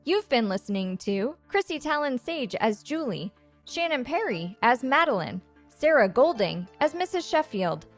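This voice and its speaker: A woman's voice: high voice, very animated, moderate pace, faint music behind. A woman playing an arch, playful narrator in scripted sci-fi comedy.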